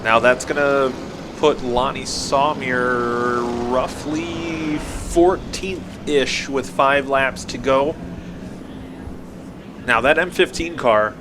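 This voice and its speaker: A man with a dry, measured announcing manner, giving live stock car race commentary. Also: medium pitch. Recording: loud vehicle noise behind. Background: race cars and trackside noise.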